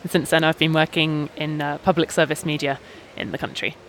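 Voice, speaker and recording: High-voiced British podcast host. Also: male voice, flat delivery, fairly bright tone, a little hiss or hum, rough recording. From Britain. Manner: measured, articulate, with small hesitations. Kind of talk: casual podcast talk. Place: outdoors in a busy town square.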